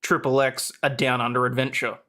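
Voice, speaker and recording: A man's voice: mid-range voice, little pitch movement, fairly quick, bright, forceful tone. A man chatting casually as a livestream guest, with dry, matter-of-fact humour. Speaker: co-host guest with own channel.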